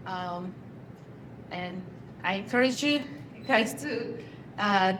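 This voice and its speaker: A woman speaks earnestly into a handheld microphone, her phrasing halting at times. Her voice sits at a middling pitch. Clear background noise from a school room runs under her words. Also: fairly bright tone. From Colombia. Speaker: company ambassador on a panel. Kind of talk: introducing herself to students.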